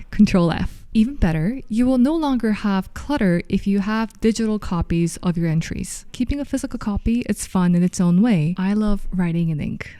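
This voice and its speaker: A woman in her thirties talks to the camera in a friendly, explaining way. Her voice is mellow and smooth, and the sound is close and dry. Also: medium pitch, dry recording. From South Korea. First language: Korean. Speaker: vlogger. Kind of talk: scripted talk to camera.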